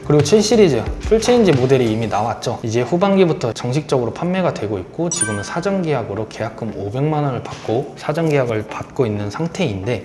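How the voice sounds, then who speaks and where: A man who sells cars delivers a courteous, persuasive pitch, keeping his voice low because his throat is sore. His speech bounces around a roomy space. A music bed runs clearly underneath. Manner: polite, persuasive salesman's patter. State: sore throat, speaking lower than usual. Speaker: car dealer. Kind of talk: sales pitch explaining monthly car promotions. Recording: roomy echo with background music.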